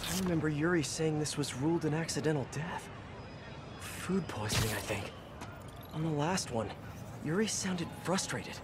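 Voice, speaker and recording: An actor playing an earnest young superhero in a video game, thinking aloud in a wry, worried way. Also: male voice, on the high side, moderate pace, background noise, close to an everyday voice. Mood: musing. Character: young male web-slinging hero, earnest. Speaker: game protagonist. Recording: game audio with music.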